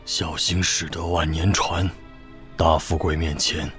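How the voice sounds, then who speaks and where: A middle-aged clan patriarch in a wuxia radio drama. He is brooding and guarded, with a deep, low voice. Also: little pitch movement, a little slow, fairly bright tone, faint music behind. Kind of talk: Chinese wuxia audio drama acting.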